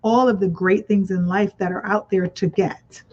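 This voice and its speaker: A woman's voice: medium pitch, steady tone, normal speed. A woman hosting a radio talk show, telling stories in a casual chat and encouraging her guest. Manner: warm encouraging affirmations, storytelling. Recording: dull lo-fi radio audio.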